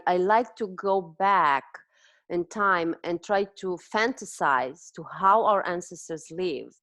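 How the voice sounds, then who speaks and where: A lower-voiced woman with a Romanian accent talks through her ideas slowly, pausing and restarting as she hunts for the right word. The sound is dry and close, with no room around it. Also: fairly bright tone, no echo. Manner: thoughtful, searching for words with frequent restarts. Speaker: host, dietitian. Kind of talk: conversational interview.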